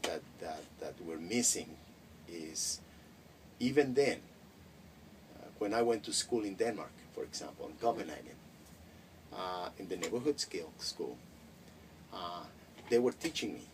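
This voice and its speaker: Middle-aged man, Spanish first language, low voice heard from across the room, thoughtful and full of restarts. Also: lively intonation, unhurried, long pauses. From Mexico. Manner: reflective, many hesitations and restarts. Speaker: interviewee. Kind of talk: casual interview. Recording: distant mic with faint noise.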